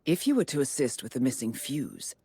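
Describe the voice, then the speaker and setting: A woman's voice: brisk, husky, exaggerated voice. An actress playing a poised female mission handler, speaking in a composed, dry-witted way over the radio in a game. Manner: composed and wry, with a lively pitch. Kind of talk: video game dialogue.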